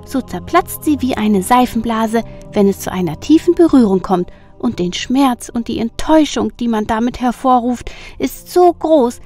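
A man voicing a cheerful, kind sun goddess who speaks gently and with compassion, music behind. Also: very high voice, lively intonation, normal speed, hardly any pauses, pitched above the performer's own voice. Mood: compassionate.

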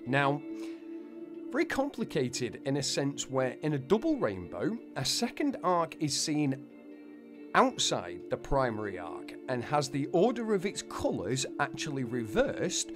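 A man's voice: medium pitch, huge pitch swings, a little slow. A man reading a scripted explainer narration, with folksy, wonder-filled asides to listeners. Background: background music.

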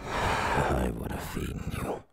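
A man playing a menacing, gloating man-eating demon in an ASMR audio roleplay, slow and sneering, with a gravelly growl that drops into breath. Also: a bit bright, slight background noise. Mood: threatening. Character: menacing, gloating male demon who eats humans.

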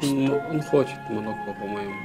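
A man's voice: mid-range voice, moderate pace. A young man making casual comments while watching a cartoon. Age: young adult. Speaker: reaction show participant. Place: indoor studio set, seated at a table. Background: faint cartoon soundtrack music.

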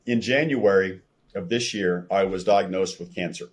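An older American man with a very low voice and a rough, husky edge. He shares a personal story as a podcast guest, earnest and a little halting. He speaks from a room at home over a video call. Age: older, around 60. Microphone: video call, computer microphone at desk distance.

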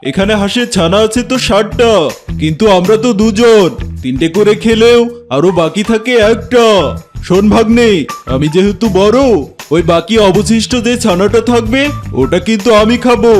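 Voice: medium pitch, normal speed, darkish tone, faint music behind, close to an everyday voice. A performer voicing a greedy, dim-witted older tiger uncle in a children's cartoon, blustering, bossy and grumbling.

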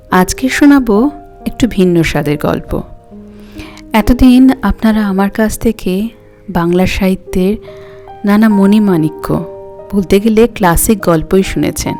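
Slow-paced woman storyteller. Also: mid-range voice, a little mellow. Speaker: audio story channel host and narrator. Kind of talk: expressive audiobook story narration. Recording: music behind the voice. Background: music.

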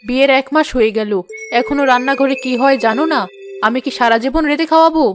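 A woman's voice: high voice, fast, soft background music, natural voice. A woman voicing a stern, irritable middle-aged mother-in-law in a scripted cartoon, with a curt manner. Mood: stern, scolding. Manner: scolding, curt.

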